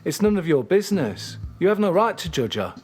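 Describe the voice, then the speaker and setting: A man's voice: fairly high pitch, sing-song, a little fast, a little mellow, slightly rough, faint music behind. A man playing a hurt, earnest, loyal boyfriend in an audio drama, pleading and protesting. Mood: protesting, defensive.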